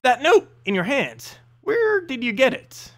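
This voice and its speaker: Sly female assassin for hire, played by a male reader: high, confident, dryly teasing, fantasy storybook. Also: wildly swooping pitch, measured pace, fairly bright tone, full character voice. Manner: teasing, dry.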